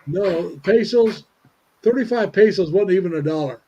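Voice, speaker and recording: 70yo guy from indiana, rambling on a livestream, kinda husky, muffled call audio. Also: sits high, lively intonation, warm tone. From Indiana, USA. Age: 70s. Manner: rambling, halting with many restarts. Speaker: livestream host. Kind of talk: casual livestream chat. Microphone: video call.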